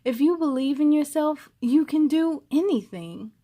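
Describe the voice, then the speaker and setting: A woman voicing a kind, patient storybook uncle, gently and emphatically encouraging his young nephew. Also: sits high, animated, unhurried.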